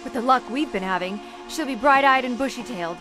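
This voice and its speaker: A woman's voice: on the high side, a little fast, music in the background, lightly acted. An actress playing a nervy, sarcastic young woman on the run, blunt and slangy, in an audio drama. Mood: sarcastic, irritated.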